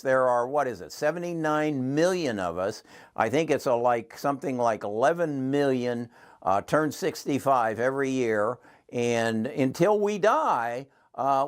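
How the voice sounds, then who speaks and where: A man in his seventies hosting his own channel, talking earnestly and rambling with frequent restarts. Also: mid-range voice. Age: 70s. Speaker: channel host. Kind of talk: casual talk to camera.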